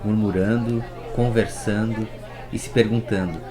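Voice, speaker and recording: A man's voice: lowish voice, fairly even intonation, unhurried, mellow, some chatter behind. A man, teaching music history in a casual storytelling way and talking straight to the viewer. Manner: chatty, addresses viewer directly with rhetorical questions.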